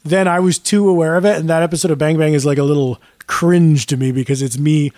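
Higher-voiced man with a raspy edge. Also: steady tone, quick pace, darkish tone, no echo, clean studio sound. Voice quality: raspy moments. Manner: rambling, jokey. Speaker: podcast host and band singer. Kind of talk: casual music chat with anecdotes.

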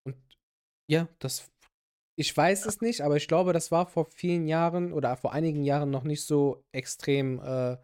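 A man's voice: fairly high pitch, steady tone, normal speed, darkish tone, smooth. A man hosting a podcast in casual chat, joking and laughing often, with many fillers and restarts. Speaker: podcast host.

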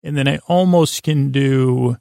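Creaky, gravelly man rambling softly along. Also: mid-range voice, no echo, studio quality. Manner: meandering, halting, self-interrupting. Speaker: podcast host. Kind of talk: rambling sleep podcast monologue.

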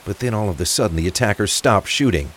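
A man's voice: lowish voice, lively intonation, fast talker, fairly bright tone. A man reading scripted narration for a crime thriller audio drama. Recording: faint rain and traffic ambience. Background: rain, vehicle sounds.

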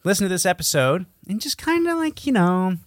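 A man's voice: high voice, big pitch swings, a little fast, no room sound, studio quality. A man hosting a podcast in casual comedic banter, with a sarcastic, teasing, sweary way of talking. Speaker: podcast host.

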